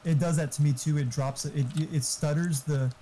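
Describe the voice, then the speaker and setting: A man's voice: fairly high pitch, steady tone, brisk, warm tone. A man chatting casually over an online game, talkative and profane, explaining tech. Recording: faint background noise.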